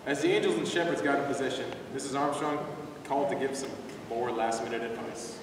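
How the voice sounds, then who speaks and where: A man's voice: mid-range voice, fairly quick, close to an everyday voice. A man performing as a matter-of-fact narrator in an amateur readers theater skit. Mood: storytelling. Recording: echoey hall from far off, noisy.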